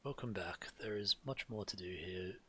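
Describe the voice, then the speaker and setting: A man's voice: low-pitched, moderate pace, a bit bright. A man reading game quest text aloud and commenting casually, sometimes stumbling and correcting himself. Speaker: game streamer.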